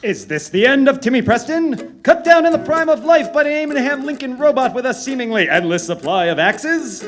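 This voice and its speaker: Man as a dramatic, animated radio announcer. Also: very high voice, fairly quick, faint music behind. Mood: breathless, dramatic. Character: grandly dramatic old-time radio announcer. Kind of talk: old-time radio scripted narration.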